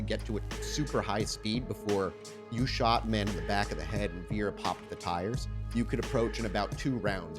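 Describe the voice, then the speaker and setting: Male game master, lowish voice, conversational scene-setting, music underneath. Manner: descriptive, conversational. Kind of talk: improvised narration of a tabletop roleplaying game. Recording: background music.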